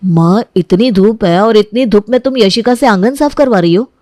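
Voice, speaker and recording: A woman's voice: medium pitch, moderate pace, warm tone, dry recording, studio quality, lightly acted. A woman voicing an earnest young man who insistently defends his beloved in a moral story cartoon. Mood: protesting. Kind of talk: acted dialogue in Hindi moral story cartoon.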